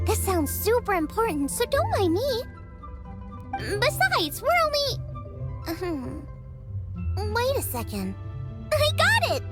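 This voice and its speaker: Game role: chirpy, enthusiastic little cook girl, squeakily high and lively, over background music. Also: slow pace, pauses often, full character voice. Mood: excited, pleading. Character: bubbly, eager young girl cook, proud of food. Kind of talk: video game cutscene voice acting.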